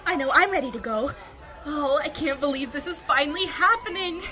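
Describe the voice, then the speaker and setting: A woman's voice: high voice, brisk, sharp tone, faint music behind, a faint crowd. A woman playing an eager young member of a fantasy crew in an audio drama. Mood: excited.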